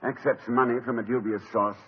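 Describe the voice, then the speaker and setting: An actor playing a polished, gently ironic middle-aged college president in a 1950 radio drama. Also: male voice, mid-range voice, fairly even intonation, brisk, husky, natural voice. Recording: dull lo-fi 1950 radio broadcast.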